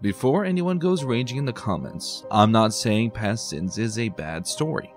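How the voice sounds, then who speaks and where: A man presenting a scripted review in an expressive, emphatic way, with dramatic pauses. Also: on the low side, soft background music. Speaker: host, reviewer of fan fiction. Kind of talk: scripted video review commentary.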